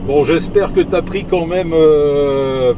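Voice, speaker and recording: A man's voice: on the high side, slow pace, highs cut off. A man chatting casually as a CB radio operator from a moving vehicle, repeating himself with trailing filler words. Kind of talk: casual radio chat, joking and jargon-filled. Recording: loud vehicle and engine noise.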